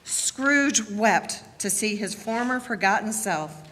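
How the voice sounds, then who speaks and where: A woman narrates a scripted story in a bright, projecting voice. She reads at an unhurried pace. The hall gives long reverb, with faint noise. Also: medium pitch, expressive. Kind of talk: scripted dramatic reading narration.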